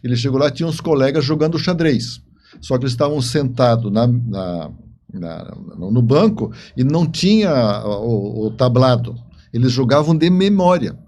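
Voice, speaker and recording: A man's voice: medium pitch, expressive, moderate pace, a little mellow. Male interview guest. Conversational storyteller, explains as he goes, doubles back on words. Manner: explanatory, often repeats words and seeks agreement.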